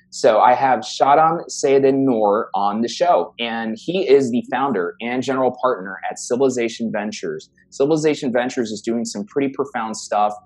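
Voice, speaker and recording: A man's voice: medium pitch, a little mellow. A man hosting a health podcast, enthusiastic and rambling, often repeating words as he asks questions. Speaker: podcast host. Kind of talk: hosting an interview.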